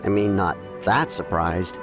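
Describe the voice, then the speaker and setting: A man's voice: sits low, measured pace. A man voicing a chatty, diplomatic townsman in a video game, candid but hesitant, correcting himself as he goes. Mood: wry, candid. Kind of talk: scripted video game dialogue. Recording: music behind the voice.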